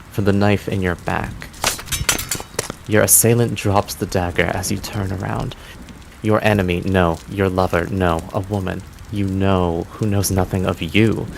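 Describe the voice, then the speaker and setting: A man delivering dramatic scripted narration as a game master, solemn and theatrical, with deliberate pauses. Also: low voice, vocal fry, background noise. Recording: music bed behind the voice. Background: music.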